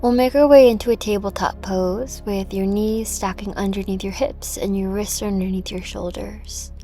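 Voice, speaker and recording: A woman teaching a yoga class, guiding movement and breath in a gentle, encouraging manner over music. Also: medium pitch. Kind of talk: guided yoga class with meditative cues.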